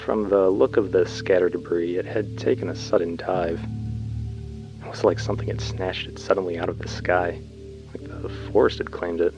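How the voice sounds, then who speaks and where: A man's voice: sits low, fairly even intonation, moderate pace, lo-fi sound, over music. A man playing a weary, uneasy colonist recording a subdued, brooding field message in a sci-fi audio drama. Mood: tense, grim.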